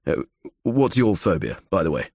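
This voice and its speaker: A man's voice: low-pitched, very animated, normal speed, a little mellow, no room sound, clean studio sound. A man voicing an anxious young male athlete in an audiobook, explaining his fears earnestly and fretfully. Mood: fretful, reasoning.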